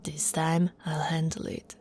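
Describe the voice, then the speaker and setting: A woman from Italy playing an affectionate, teasing female orc warrior in an intimate, soft-spoken ASMR roleplay. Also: low-pitched, flat delivery, a little slow, a little hiss or hum. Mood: teasing, competitive. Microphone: close, dry microphone.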